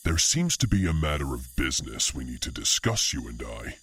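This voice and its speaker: A man's voice: a bass voice, sing-song, brisk, fairly bright tone, husky, exaggerated voice. A man playing a large, menacing orc villain who speaks with formal menace in an audio drama. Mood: cold, threatening.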